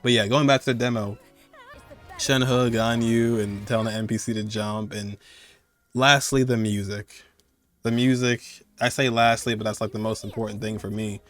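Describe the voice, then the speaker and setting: A man with a fairly low voice hosts a gaming countdown. He talks casually and excitedly, rambling informally and leaning hard on the points he cares about. Music plays behind his voice. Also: normal speed. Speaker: host of a gaming countdown video.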